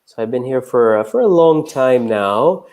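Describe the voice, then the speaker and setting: Young male English teacher from Brazil, casual live-lesson host, mid-pitched, lots of fillers. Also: moderate pace. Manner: relaxed, chatty, frequent uh and um.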